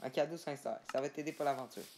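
A man's voice: mid-range voice, fast talker. A man hosting a casual gameplay chat, stammering and repeating filler words in long runs. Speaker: gaming channel host. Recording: background noise.

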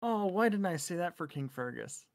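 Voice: medium pitch, animated, normal speed. A livestream co-host chatting casually in an informal, sweary way.

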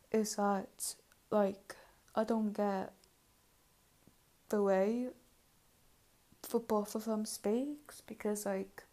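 A woman's voice: medium pitch, warm tone. A woman giving casual, hesitant reaction commentary as a host, rambling and mildly critical. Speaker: video reviewer and host.

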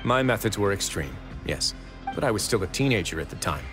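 An actor playing a composed, brooding fortress warden in a video game, measured and dry with restraint. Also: male voice, lowish voice, sing-song. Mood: resolute. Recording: music behind the voice.

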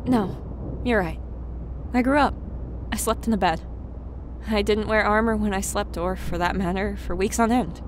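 A woman's voice: medium pitch, moderate pace, pauses often, background noise, lightly acted. A woman playing a stubborn, flustered young adult pony mare in an audio drama, speaking in an earnest, emphatic way. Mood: frustrated, defiant.

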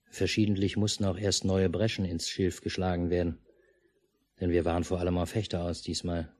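An actor playing a stoic, dryly grumbling country father and narrator in a 1950s German radio play, laconic and unhurried. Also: low-pitched, darkish tone, husky, no room sound.

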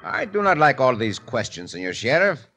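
An actor playing a dashing Mexican caballero hero with a put-on Mexican Spanish accent and formal, suave speech, in a 1950s radio drama. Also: male voice, medium pitch, sing-song, moderate pace, over loud music, lightly acted. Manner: formal, suave, avoids contractions. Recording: hissy old radio recording.

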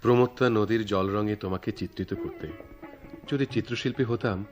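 An actor playing an idealistic young writer in love in a radio drama, poetic and earnest, with a Bangladeshi accent. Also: male voice, lowish voice, brisk, faint music behind, close to an everyday voice. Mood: adoring, dreamy. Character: romantic, idealistic young male writer, devoted eloping lover. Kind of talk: theatrical radio drama acting.

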